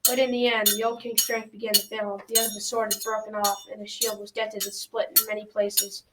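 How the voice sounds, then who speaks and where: A woman's voice: medium pitch, little pitch movement, a little fast, close to an everyday voice. A teenage girl narrating a folktale as scripted storytelling in an amateur school radio drama. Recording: noisy, distant from the mic.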